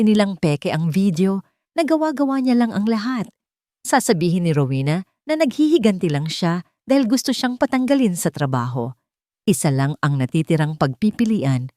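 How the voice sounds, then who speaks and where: A woman's voice: fairly low pitch, a little mellow, dry recording, clean studio sound. A woman narrating a scripted dramatic story in a suspenseful way, with short emphatic phrases.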